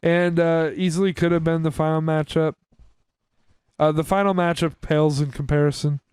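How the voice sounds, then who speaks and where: A man's voice: on the high side, level tone, normal speed, darkish tone, clear, resonant tone, dry recording. A man chatting casually as a podcast co-host, steering the discussion decisively. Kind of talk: casual chat.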